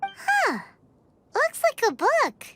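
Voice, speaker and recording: A woman's voice: very high voice, huge pitch swings, extremely slow, heavily acted. A woman voicing a tiny, nosy female fairy companion in a video game, who bubbles over and talks about herself in the third person. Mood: excited. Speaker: game character. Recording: game audio with loud music.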